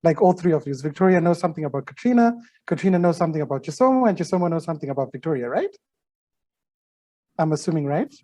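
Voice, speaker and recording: A workshop facilitator guiding a group exercise on a video call, talking in a halting way with many fillers and restarts. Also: mid-range voice, expressive, mellow.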